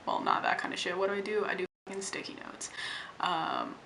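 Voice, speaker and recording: Blunt deep-voiced young woman, gravelly. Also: huge pitch swings, fairly quick, a little hiss or hum. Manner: blunt, wry and profane; often hushed, half-whispering. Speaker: vlogger. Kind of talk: casual vlog talk to camera. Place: home room.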